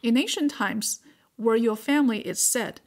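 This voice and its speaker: A woman's voice: on the high side, normal speed. A middle-aged woman whose first language is Mandarin, patiently explaining Chinese words and tones as a guest teacher.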